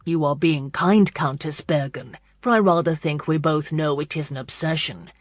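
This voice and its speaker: A woman's voice: sits low, moderate pace, no room sound. A woman voicing a charming male marquis, gallant, formal and playful, in an audiobook romance. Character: charming, genial tall aristocratic husband, a marquis.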